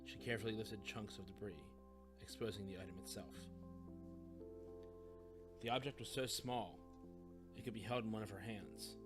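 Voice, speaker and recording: A man narrates scripted fiction. His voice sits somewhat low and his storytelling is measured and literary. Music is clearly heard beneath him. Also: steady tone.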